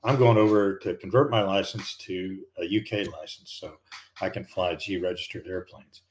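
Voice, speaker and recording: A man's voice: low-pitched, steady tone, moderate pace. An older American man hosting a live stream, chatting casually and rambling with many fillers and restarts. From United States. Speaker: livestream host, retired pilot.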